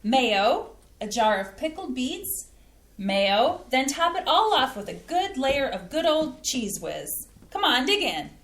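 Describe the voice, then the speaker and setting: A woman's voice: high voice, very animated, takes it slowly, a bit bright, heavily acted. A woman reading the part of a fed-up middle-aged mother and wife in an amateur staged play reading, speaking emphatically and scolding. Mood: cheery. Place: theater stage. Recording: roomy stage sound.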